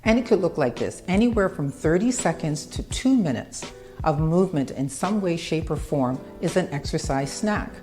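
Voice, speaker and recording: A woman's voice: sits low, expressive, normal speed, dark tone, soft background music. A woman presenting a short scripted fitness tip segment for a sponsor, with a polished, upbeat delivery.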